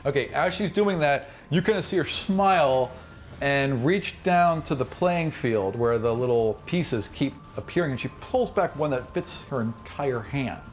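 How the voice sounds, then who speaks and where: A man's voice: mid-range voice, expressive, measured pace. Man narrating a fantasy game, noisy background. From United States. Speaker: game master.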